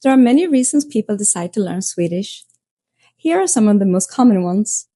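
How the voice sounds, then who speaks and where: A woman narrating a language lesson in a slow, measured way. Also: medium pitch, animated, warm tone, no echo, clean studio sound.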